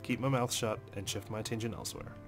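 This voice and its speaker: A man with a fairly low voice narrates a visual novel in the first person. His reading is expressive and deliberate, with dramatic phrasing. Faint music plays behind him. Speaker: let's play host reading game narration.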